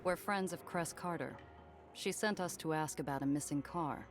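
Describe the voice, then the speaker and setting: A woman's voice: sits low, normal speed, soft background music, close to an everyday voice. An actress playing a tough, world-weary smuggler woman in a 1930s noir game, wry and clipped. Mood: cool, watchful.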